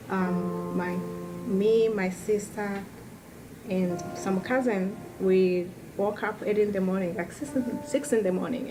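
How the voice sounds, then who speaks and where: A young woman from Rwanda telling her life story in an interview, soft-spoken and halting, often restarting her sentences. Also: mid-range voice, poor audio. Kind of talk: personal testimony interview. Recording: music bed underneath.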